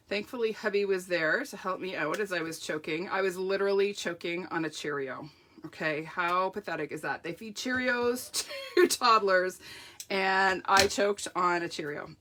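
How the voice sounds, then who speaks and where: A middle-aged woman with a croaky, sore throat, chatting through a live card-making tutorial while she works with her hands. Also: lowish voice, normal speed, sharp tone, slight background noise. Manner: chatty, self-deprecating, talks while working with hands. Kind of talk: live craft tutorial with viewer shout-outs.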